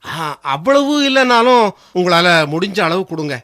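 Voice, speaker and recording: A man's voice: sits high, lively intonation, normal speed, fairly bright tone. An actor playing a desperate, humbled husband who has lost his job and money, speaking with earnest emotion in a Tamil radio drama. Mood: troubled, pleading.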